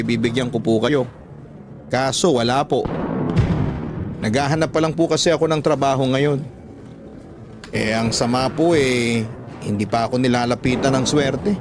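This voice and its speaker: A man's voice: medium pitch, lightly acted. Man playing an earnest young Filipino son. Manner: earnest, respectful. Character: dutiful, hard-up young male student, earnest son. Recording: background music under the voice.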